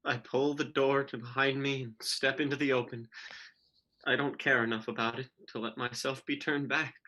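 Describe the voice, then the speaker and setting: A bitter, despairing schoolboy acted by a man broods aloud in a deliberate dramatic monologue. His voice is medium-pitched for a male, with a bright, forceful edge. It has the feel of a stage play being read. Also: flat delivery. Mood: bitter, resigned.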